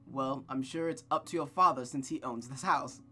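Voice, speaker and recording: A reader playing a genial nobleman in a visual novel. He speaks with polite, measured reassurance while guitar music plays behind him. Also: medium pitch, pushed and bright. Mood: kindly, reassuring. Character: genial, courteous nobleman, a kindly marquis. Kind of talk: visual novel read-aloud character voice.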